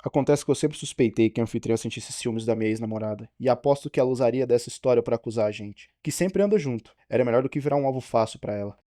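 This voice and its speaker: A man acts as a matter-of-fact ship's cook in an animated mystery. He speaks fast and frankly in a close, dry sound, loyal and protective of his friend. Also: mid-range voice, dry recording, lightly acted. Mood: confessing. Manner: frank, explanatory.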